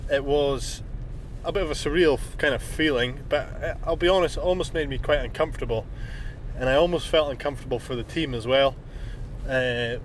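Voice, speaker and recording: A man's voice: medium pitch, slight background noise. A Scottish man in his twenties, a business owner, chatting candidly to camera outdoors at a snowy job site while bunged up with a cold. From Scotland. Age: 20s. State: congested with a cold. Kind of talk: casual vlog narration and chat.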